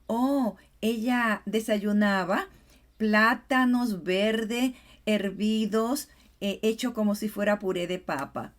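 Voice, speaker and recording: A middle-aged woman with a Dominican accent co-hosting a Bible study program, lively and fond of practical examples. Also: mid-range voice, slow pace. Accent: Dominican Spanish. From Dominican Republic. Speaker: co-host, literature specialist.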